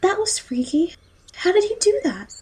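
A woman's voice: extremely high pitch, big pitch swings, moderate pace, darkish tone. A woman playing a timid, polite and innocent young girl in a fairy tale audio drama, with a naive, hesitant manner. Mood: polite, uneasy.

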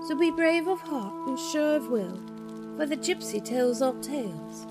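A woman's voice: high voice, lively intonation, unhurried. A woman playing a mysterious fortune-telling storyteller who gives an ominous, theatrical introduction to a radio play. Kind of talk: scripted radio drama introduction. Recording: music behind the voice.

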